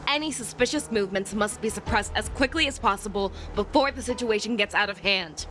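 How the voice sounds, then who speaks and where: A woman voicing a steely, commanding general in a video game with measured authority. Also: mid-range voice, a bit bright, slight background noise, natural voice. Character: stern, commanding female general, steely and resolute. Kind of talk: video game cutscene acting. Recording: music behind the voice.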